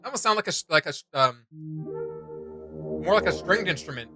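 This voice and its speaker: A man with a fairly high voice chats as a reaction host. He is enthusiastic and loose, rambling with plenty of filler words, while game music plays clearly behind him. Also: animated, brisk. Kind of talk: casual excited chat reacting to game sounds.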